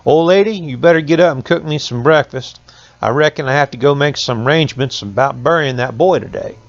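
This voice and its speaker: A man's voice: a little high, a little fast, no room sound, lo-fi sound, close to an everyday voice. A man voicing an old country mill owner in a folk tale, plain-spoken and folksy, with rural turns of phrase. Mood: hollering, gruff.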